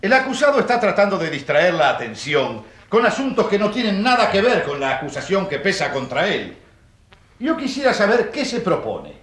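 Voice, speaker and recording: An actor playing a haughty, scornful prosecuting lawyer in a courtroom radio drama, objecting in an emphatic, declamatory way. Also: male voice, high-pitched, very animated, moderate pace, fairly bright tone. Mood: indignant. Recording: roomy echo.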